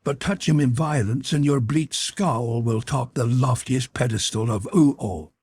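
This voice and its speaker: A man's voice: medium pitch, measured pace, darkish tone, husky, no room sound, pitched above the performer's own voice. A man voicing a young captive woman in an audiobook, earnest and sorrowful, with formal old-fashioned phrasing. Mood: urgent warning. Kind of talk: audiobook character voice.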